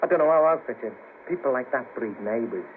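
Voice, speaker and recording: Down-on-his-luck ex band manager, male, played by an actor: Merseyside, gloomy and hesitant, varied intonation, 1970s radio play, clear tape noise. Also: mid-range voice, lively intonation, fast, close to an everyday voice. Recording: hissy narrow-band cassette of 1970s radio broadcast.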